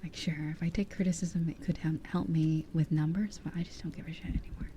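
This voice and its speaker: A woman in her twenties chatting casually as an ASMR streamer in a soft, breathy voice that often drops to a whisper, rambling candidly close to the microphone. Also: deep voice, steady tone, fairly quick, a little hiss or hum. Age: 20s. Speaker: ASMR livestream host. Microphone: close ear-to-ear ASMR microphone.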